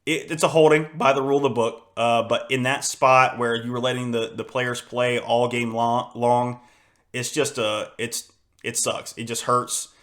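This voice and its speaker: Hoarse man, flat rambling sports talk. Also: medium pitch, normal speed. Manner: rambling, frustrated, many fillers and restarts. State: hoarse, losing his voice. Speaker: podcast host. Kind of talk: casual solo sports podcast.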